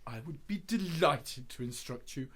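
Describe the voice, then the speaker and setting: Man acting a lively, charming young poet. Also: a little high, moderate pace, a little hiss or hum, lightly acted. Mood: gracious. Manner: courteous, theatrical, flowery. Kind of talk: radio play period acting.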